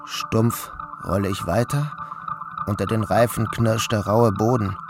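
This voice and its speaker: A man reading a literary story aloud as a first-person narrator, with a measured and even delivery. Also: on the low side. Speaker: audiobook narrator. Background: music.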